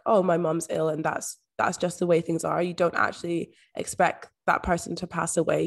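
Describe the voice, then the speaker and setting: A young woman from Scotland with a soft Scottish accent, a podcast guest speaking openly and reflectively in a casual interview. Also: lowish voice. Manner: open, reflective, uses "like" often. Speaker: podcast guest, mindset coach.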